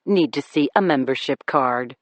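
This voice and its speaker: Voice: mid-range voice, moderate pace, no room sound, voice pushed down, close to an everyday voice. A narrator voicing a skinny, surly male bartender who speaks curtly.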